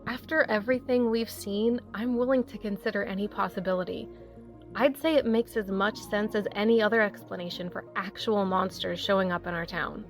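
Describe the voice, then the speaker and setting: A woman's voice: medium pitch, fairly even intonation, fairly quick, faint music behind, lightly acted. A woman acting a determined, open-minded survivor in an audio drama, earnest and persuasive.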